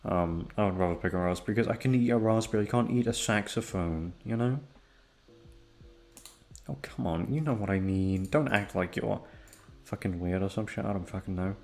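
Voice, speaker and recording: A young man chatting casually to his listeners in a quiet, low-energy, laid-back way, hesitant and swearing freely. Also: normal speed, faint music behind. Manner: hushed, low-energy, keeping quiet for others in the house. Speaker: audio roleplay creator and host. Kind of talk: rambling casual chat to listeners. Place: at home.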